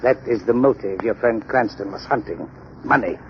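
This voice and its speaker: A cold-blooded male villain played by a radio actor, boastful and deliberate in his menace. The 1930s broadcast recording is muffled, with clear hiss and noise. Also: lowish voice, lightly acted. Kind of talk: 1930s radio drama acting.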